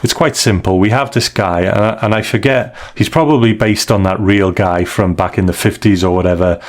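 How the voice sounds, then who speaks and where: A man's voice: on the low side, brisk. A man hosting a podcast, recapping and reviewing stories in a casual, slightly hesitant conversational way. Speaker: podcast host.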